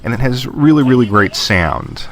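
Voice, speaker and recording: A man's voice: medium pitch, expressive, normal speed. A man doing a casual voice-over review of old console games, thinking aloud with fillers and restarts. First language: English. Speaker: game reviewer. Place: voice-over over game footage.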